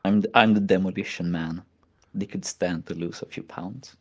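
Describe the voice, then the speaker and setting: A man's voice: low voice, fairly even intonation, normal speed, darkish tone, slightly rough. A man with a Swedish accent chatting casually on a stream, rambling and mocking, with many fillers. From Sweden. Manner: rambling, mocking, frequent "like" and "you know". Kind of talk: casual chat.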